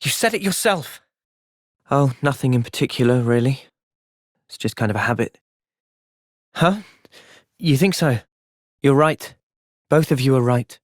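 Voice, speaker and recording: A young man playing a thoughtful, earnest young soldier in video game cutscenes, speaking in a gentle, reflective manner. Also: mid-range voice, expressive, unhurried, long pauses, dry recording, clean studio sound, natural voice. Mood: calm, thoughtful.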